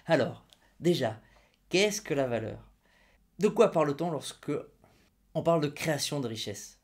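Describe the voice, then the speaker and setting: Brief: male explainer host, slightly high voice, sarcastic edge, teacherly and direct to camera. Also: expressive, unhurried, long pauses. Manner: ironic and mocking, then patiently didactic; addresses viewers directly with rhetorical questions. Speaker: video host explaining money and crypto.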